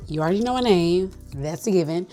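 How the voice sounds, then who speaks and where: A woman, chatting in a bubbly, animated way in a casual get-to-know-me vlog, a little stuffy from sinus congestion. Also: on the low side, quick pace, faint music behind. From Nashville, Tennessee. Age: 30s. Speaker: vlogger.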